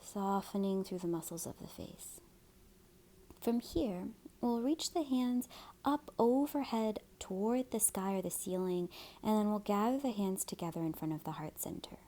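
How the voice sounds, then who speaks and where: Soft, mellow-voiced woman guiding yoga. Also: mid-range voice, expressive, moderate pace. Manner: soft, soothing, breathy at phrase ends. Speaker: yoga and meditation teacher. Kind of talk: guided meditation and gentle yoga instruction.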